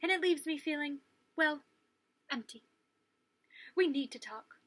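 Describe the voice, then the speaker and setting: A forlorn storybook crayon that feels empty and overlooked, acted out by a woman. It speaks plaintively in a high, bright voice. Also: measured pace, dry recording. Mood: sad, plaintive. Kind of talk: children's picture book read-aloud.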